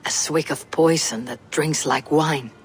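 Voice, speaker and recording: An actress playing a stoic, battle-worn Viking warrior in a video game, telling a tale in a grave, measured way. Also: female voice, low-pitched, a little hiss or hum, low-quality recording. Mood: stoic, troubled. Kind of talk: dramatic game dialogue, recounting a tale.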